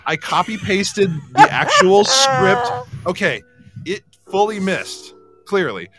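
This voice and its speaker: A man's voice: sits high, very animated, slow, a bit bright, soft background music. A man hosting a casual live podcast chat, tossing in wry, incredulous asides. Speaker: podcast host.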